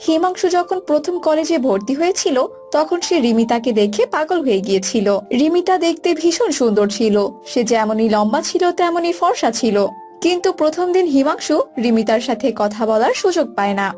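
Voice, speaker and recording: A woman's voice: sits high, expressive, moderate pace, a little mellow. A woman reading scripted narration for a cartoon story. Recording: music bed behind voice.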